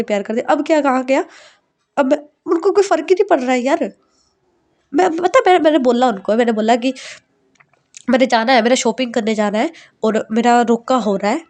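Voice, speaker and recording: Woman playing a tearful young bride-to-be, high whiny voice, stubborn, insistent. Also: a little mellow, natural voice.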